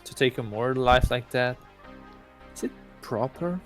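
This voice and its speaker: A man's voice: medium pitch, animated, normal speed, faint music behind, natural voice. A man voicing an aloof, deluded god posing as a student in a visual novel, musing in a self-important, awkwardly earnest way. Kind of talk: first-person visual novel narration.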